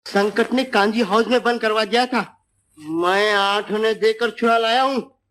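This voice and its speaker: A man playing an excitable, cowardly village busybody in a 1950s Hindi film comedy, chattering and pleading theatrically. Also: high voice, measured pace. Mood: boastful, calling out. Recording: noisy old film soundtrack.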